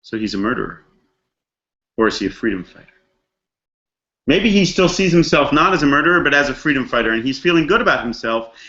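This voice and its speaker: Slightly muffled, lo-fi audio carries a man teaching a religious text. He speaks in a conversational, reflective way at an average pitch, like a storyteller working through a passage and putting questions to his listeners. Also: animated, fairly quick, long pauses, bright, forceful tone. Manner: dramatizes characters' thoughts in casual modern slang. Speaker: rabbi teaching a text study class. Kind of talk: conversational scripture lecture.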